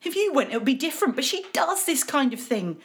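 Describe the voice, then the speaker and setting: A fairly high, very animated voice. A woman plays a weary mother in an audio drama, exasperated and dismissive. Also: fast.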